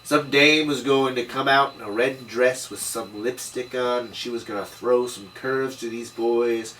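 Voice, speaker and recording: A man's voice: medium pitch, steady tone, measured pace, bright, forceful tone. Man playing a cynical hardboiled noir detective, distant mic. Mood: wry, world-weary. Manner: hardboiled slangy first-person storytelling. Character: cynical, hard-drinking male noir detective, tough and wisecracking. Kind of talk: table read of a noir drama script. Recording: distant microphone, noisy room.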